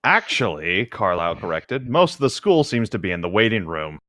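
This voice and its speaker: A man's voice: fairly low pitch, sing-song, brisk, fairly bright tone, dry recording, studio quality. A man co-hosting a podcast, switching between reading aloud and casual chat with friends. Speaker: podcast co-host.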